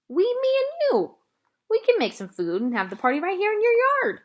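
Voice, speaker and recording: An earnest young girl from a children's storybook, acted by a woman, wistful yet eager. Her speech is expressive and pleading, swinging widely in pitch. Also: moderate pace, heavily acted. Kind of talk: children's audiobook character voice.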